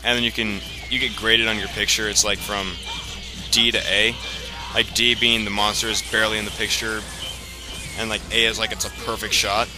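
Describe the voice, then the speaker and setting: Male let's play host, lowish voice, casual and explanatory, rambles, game music underneath. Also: level tone, moderate pace. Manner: rambling, explanatory, frequent profanity. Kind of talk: casual video game commentary. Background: video game music and sound.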